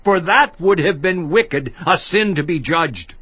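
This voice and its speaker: A man's voice: a little high, animated, slightly rough, dry recording, dull audio. An actor in a dramatized audio Bible playing an anguished, righteous man who solemnly protests his innocence to God. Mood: solemn oath. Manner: slow, solemn, impassioned declamation.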